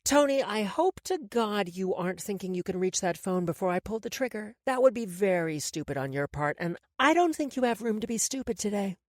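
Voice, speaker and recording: Brief: woman playing a cool, menacing tormentor for an audio story, quiet menace, varied pitch. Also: animated, a little fast, few pauses, no room sound, clean studio sound, natural voice. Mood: cold, menacing. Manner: soft, coolly menacing. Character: calm, cruel woman toying with captives.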